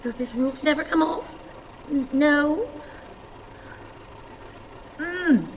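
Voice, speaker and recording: A woman's voice: sits high, very slow, exaggerated voice. A woman playing a stout, cantankerous, self-pitying old woman in a radio play, with theatrical, exclamatory wailing and lamenting. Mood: fretful, straining. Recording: reverberant hall, audience noise.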